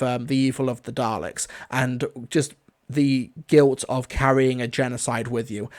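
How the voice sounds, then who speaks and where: A man hosting a casual, off-the-cuff review stream, talking in an enthusiastic, rambling way. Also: medium pitch. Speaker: reviewer, livestream host.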